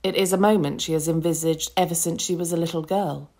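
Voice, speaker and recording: A woman reading an excerpt from her own novel in a measured, deliberate way. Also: fairly low pitch, steady tone, darkish tone. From England.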